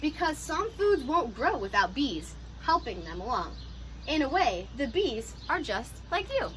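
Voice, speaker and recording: A woman who teaches pre-K, reading a picture book aloud to young children in an animated, encouraging way with teacher asides. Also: high voice, unhurried, a little hiss or hum, rough recording.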